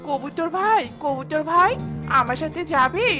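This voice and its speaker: A woman voicing a kindly, generous mother bird in a children's fairy tale cartoon, speaking in a gentle, coaxing way. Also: high voice, lively intonation, unhurried, over music. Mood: eager, kindly.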